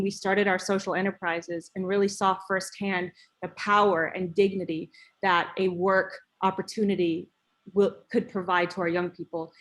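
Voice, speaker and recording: A woman speaking steadily and heartfelt as she introduces herself on a webinar panel over video call. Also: mid-range voice. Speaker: panelist, nonprofit co-founder.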